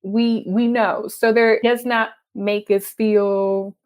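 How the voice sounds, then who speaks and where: A woman's voice: medium pitch, little pitch movement, takes it slowly, a little mellow. A young adult woman from Texas with a Texan accent, a freelance writer chatting animatedly and laughing often in an interview. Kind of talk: conversational interview.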